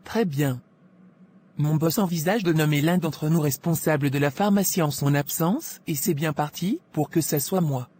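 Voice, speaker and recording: A woman's voice: low voice, normal speed, mellow, natural voice. A woman playing a gossipy, scheming pharmacy employee in a cartoon drama, insinuating and self-assured, with faint noise. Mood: smug. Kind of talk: animated moral drama dialogue.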